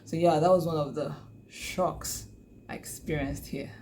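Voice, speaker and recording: Chatty Nigerian woman with a mellow voice. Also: sits low, fairly even intonation, measured pace, a little hiss or hum. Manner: chatty, laughs often, many "you know" and "like" fillers. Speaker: vlogger. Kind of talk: casual talk to camera.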